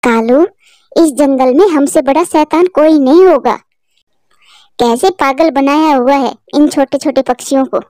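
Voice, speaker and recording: A sly, scheming female crow from a cartoon, voiced by a woman, smug and gloating in a high voice. The sound is dry and close. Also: measured pace, dark tone, dry recording.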